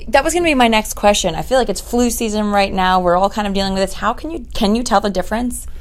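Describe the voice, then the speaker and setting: A slightly hoarse woman interviewing a doctor in a friendly, chatty way. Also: medium pitch, fast talker. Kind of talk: interview questions.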